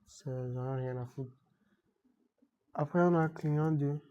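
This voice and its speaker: Man with a cold, halting tutorial talk. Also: fairly high pitch, steady tone, mellow, lo-fi sound. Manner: halting, many repeated words and restarts; checks in with "d'accord?" often. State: has a cold, clearing throat. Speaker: IT tutorial presenter. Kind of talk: unscripted screen-recorded tech tutorial.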